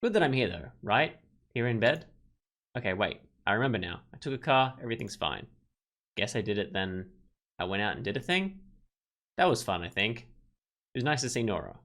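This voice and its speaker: A man's voice: fairly low pitch, sing-song, fairly quick, long pauses, fairly bright tone. A man reading a visual novel aloud as a let's player, mixing narration with casual, rambling commentary. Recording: music behind the voice. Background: game music.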